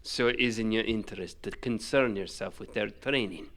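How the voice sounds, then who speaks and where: A man's voice: lowish voice, a bit bright. Stern, formal older warrior general played by a man. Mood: grave, patient. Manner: formal, measured, commanding. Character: stern, duty-bound veteran warrior general, male, older. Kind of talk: fantasy audio drama acting.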